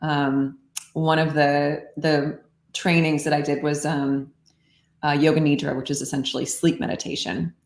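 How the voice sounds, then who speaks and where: A woman explaining meditation practice in a calm, unhurried way, often saying "like", in casual podcast conversation. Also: low voice, echoey room. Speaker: meditation teacher.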